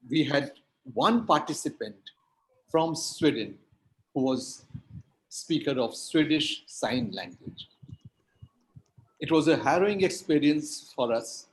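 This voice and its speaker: A man's voice: mid-range voice, rough recording. A man whose first language is Hindi and Urdu, speaking on a panel in an emphatic, lecture-like way with rhetorical repetition. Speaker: linguistics academic, panelist.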